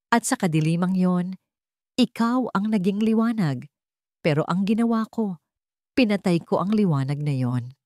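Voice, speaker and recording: A woman's voice: fairly low pitch, lively intonation, moderate pace, long pauses, a little mellow, dry recording, studio quality, natural voice. A woman voicing a remorseful wealthy man in a romance story, pleading and confessing dramatically.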